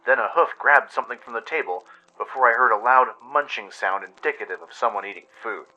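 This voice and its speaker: A man's voice: medium pitch, a little fast, sharp tone, dull audio. A man reading scripted first-person story narration for a radio play. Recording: music bed under produced voice-over. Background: music.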